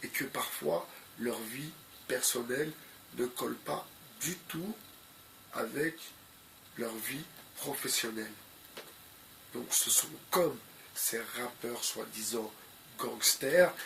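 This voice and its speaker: Slow, gravelly man with a cold. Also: medium pitch, a bit bright, slight background noise, poor audio. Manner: hesitant, repeats phrases, many fillers. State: has a cold. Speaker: video commentator. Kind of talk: unscripted spoken commentary.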